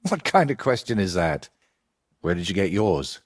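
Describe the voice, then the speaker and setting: A man playing an evasive, eccentric wandering painter in a medieval video game, with a husky, rough-edged voice and a theatrical, riddling way of talking. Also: sits low, very animated, moderate pace, heavily acted. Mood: playful, cryptic. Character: evasive eccentric male wandering painter, wry and world-weary.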